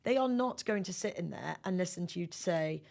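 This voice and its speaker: A woman with a fairly low voice and plenty of vocal fry speaks as an executive guest on an interview podcast. She explains her points emphatically, in a conversational, businesslike way. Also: expressive, fairly quick, darkish tone.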